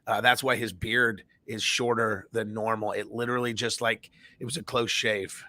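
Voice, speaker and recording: Bright-voiced American man, slightly raspy. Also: fairly low pitch, level tone, moderate pace, dry recording. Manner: joking, teasing. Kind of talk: lighthearted casual banter.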